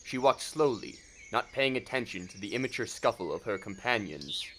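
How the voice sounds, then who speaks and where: Male narrator, fairly low voice, scripted storytelling, faint background ambience. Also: expressive, normal speed, a bit bright, a little hiss or hum.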